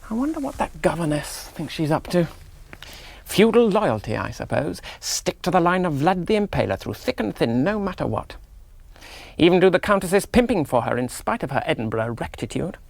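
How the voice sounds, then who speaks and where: An actor playing a rational, jaunty young English army gentleman in a radio drama, musing aloud in a clipped, wry English accent. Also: a little high, big pitch swings, moderate pace, vocal fry, no echo, full character voice. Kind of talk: 1970s radio drama monologue.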